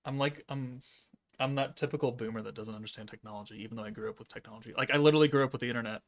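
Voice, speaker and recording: Male streamer, 30s, gravelly and deadpan, laid-back monotone chat. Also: mid-range voice, rapid-fire. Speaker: gaming streamer. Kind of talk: casual live-stream chat, reading chat aloud.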